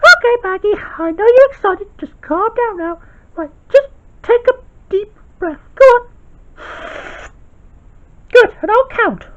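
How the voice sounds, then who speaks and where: A woman's voice: extremely high pitch, animated, a little slow, long pauses. A woman voicing a cheerful young boy who fusses over and soothes a baby in a homemade toy play, on a dull lo-fi home recording. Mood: coaxing. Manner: chatty, fussing and soothing.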